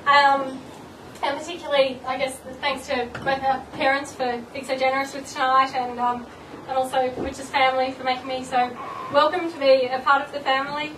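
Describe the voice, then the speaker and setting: Nervous, high-voiced woman reading a speech. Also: level tone, normal speed, noisy background. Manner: nervous, giggly, self-deprecating. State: slightly croaky voice. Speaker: bride-to-be giving engagement speech. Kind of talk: reading a speech and rhyming poem. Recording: distant, echoey mic with loud party ambience.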